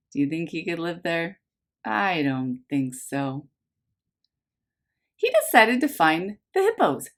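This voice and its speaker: A woman reads a storybook as a teacher would to very young children. Her pitch swings widely in a lively sing-song, and she takes her time with slow, simple phrases and questions to her listeners. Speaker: preschool teacher reading a picture book aloud.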